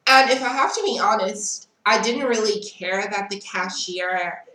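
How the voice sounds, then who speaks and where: A woman's voice: medium pitch, fairly even intonation, measured pace, bright, forceful tone, a little hiss or hum. A young South African woman telling a story in a casual vlog, chatty, frank and unbothered. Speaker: vlogger. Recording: echoey room.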